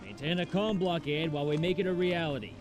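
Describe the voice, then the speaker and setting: A man voicing an ambitious, persuasive pirate warlord in a comic dub, commanding and smooth-talking. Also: on the high side, fast talker, over music, close to an everyday voice.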